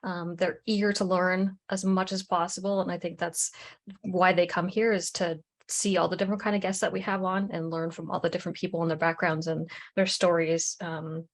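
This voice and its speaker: Thankful woman co-host, lots of ums. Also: lowish voice. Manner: warm, thankful, many fillers. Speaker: podcast co-host. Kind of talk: casual video call chat.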